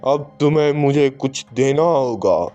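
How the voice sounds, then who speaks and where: A man's voice: mid-range voice, measured pace, mellow, lo-fi sound, soft background music, natural voice. A man voicing a menacing male ghost in a storybook horror cartoon. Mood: ominous.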